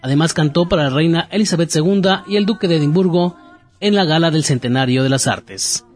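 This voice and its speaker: A man hosting a radio jazz program, reading an artist's biography in a measured, formal manner. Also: fairly high pitch.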